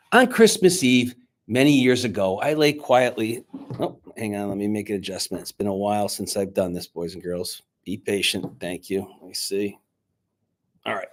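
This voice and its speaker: A man speaking to schoolchildren as their teacher, reading a storybook aloud in an expressive, dramatic way. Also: on the low side. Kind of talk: children's storybook read-aloud and chat to pupils. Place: indoors, recorded remotely on video.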